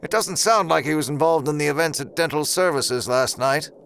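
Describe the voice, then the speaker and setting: An actor playing a coolly calculating, sinister boss of a secret project in an audio drama, speaking in a measured, formal, ominous way. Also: male voice, on the high side, vocal fry, a bit bright, a little hiss or hum.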